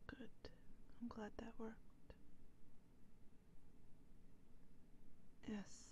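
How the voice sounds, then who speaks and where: A woman's voice: fairly high pitch, little pitch movement, a little mellow, a little hiss or hum. Woman playing a whispering, hungry female vampire. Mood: relieved, soft. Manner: breathy, hesitant, slow and halting. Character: hungry, anxious female vampire, guarded and tender. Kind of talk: whispered ASMR roleplay.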